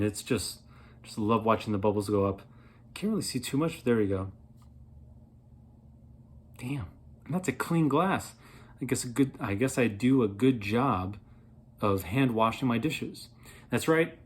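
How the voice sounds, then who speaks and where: A man in his thirties reviewing a product in a casual, playful way, rambling as he thinks aloud, with a slightly husky voice. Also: medium pitch, a little slow, long pauses. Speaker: beer reviewer, vlog host.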